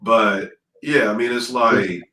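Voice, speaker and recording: A man speaking as an interview guest in relaxed conversation, often pausing and filling with "you know". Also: on the low side. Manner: hesitant, fills with "you know". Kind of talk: casual conversation about travel. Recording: echoey room, faint noise.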